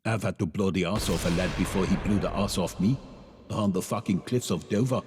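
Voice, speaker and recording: A man's voice: sits low, fairly quick, darkish tone, big-room sound, a little hiss or hum, natural voice. A man playing a boastful, crude mercenary with a swaggering manner in a radio play. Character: boastful, foul-mouthed mercenary gun for hire.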